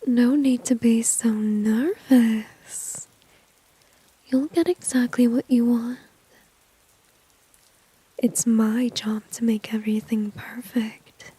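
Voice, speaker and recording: A woman plays a dominant, flirtatious villainess in an intimate ASMR roleplay. Her whisper is soft, mellow and very breathy, unhurried and smugly teasing. It sits close and dry on the microphone. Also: fairly high pitch, no echo, exaggerated voice, poor audio. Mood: flirty, dominant. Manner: slow, teasing, smug, intimate. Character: seductive, dominant female villain teasing a shy enemy.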